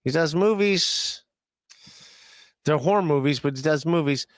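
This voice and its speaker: A male podcast host with a slightly high voice reads out viewer comments. He teases his guest in a casual, easygoing way. His voice is recorded dry and close. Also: measured pace, no room sound.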